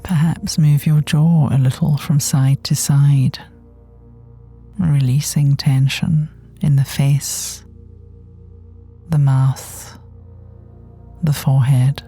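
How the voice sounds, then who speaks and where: Soft, slow, low-lit mellow woman guiding meditation. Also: little pitch movement. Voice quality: soft, gentle, breathy, often near whispering. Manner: soothing, unhurried, long pauses between phrases. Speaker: guided meditation teacher. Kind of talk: guided body scan meditation. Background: soft meditation music.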